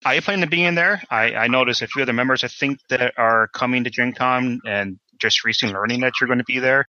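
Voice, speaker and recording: A male podcast host with a very bright, sharp-edged voice asks casual questions in a halting, rambling way. The recording is dry and somewhat dull. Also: medium pitch, no echo. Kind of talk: casual interview chat.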